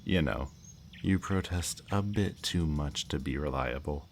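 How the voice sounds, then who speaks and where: A man playing a smug, politely menacing kidnapper in an intimate ASMR roleplay, speaking to the listener in a teasing, mock-courteous way. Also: rumbling low. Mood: calm menace. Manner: slow, teasing, mock-courteous, lingering over words. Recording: faint background noise, chirping night crickets.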